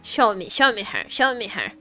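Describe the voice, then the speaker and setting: A woman's voice: mid-range voice, very animated, normal speed, pushed and bright. A woman giving casual, playful game commentary to her chat, often repeating words and phrases. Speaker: game livestreamer. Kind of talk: casual reaction commentary to chat while gaming. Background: faint game music.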